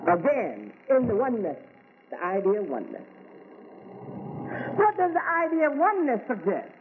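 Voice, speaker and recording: A man's voice: very high-pitched, huge pitch swings, moderate pace. An American man giving a religious lecture as an Islamic teacher, asking rhetorical questions and repeating key words. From United States. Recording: tinny phone-like recording with faint hiss.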